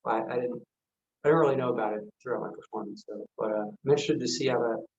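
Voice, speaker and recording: A man answers as a guest on a remote panel, his sound a little dull from the video call. His voice is average in pitch. He speaks casually and haltingly, searching for his words as he goes. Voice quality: hesitant, halting manner.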